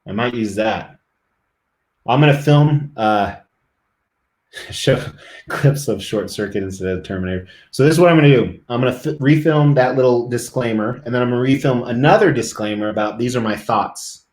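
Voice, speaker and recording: A man's voice: medium pitch, moderate pace, a little mellow, reverberant. A middle-aged man live streaming, chatting casually and rambling to his viewers.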